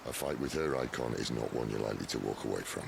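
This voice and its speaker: A middle-aged man playing a wry, seasoned outlaw leader in a video game, gravelly and measured. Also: rumbling low, background noise, heavily acted. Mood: earnest. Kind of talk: dramatic video game voice acting. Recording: music under the voice.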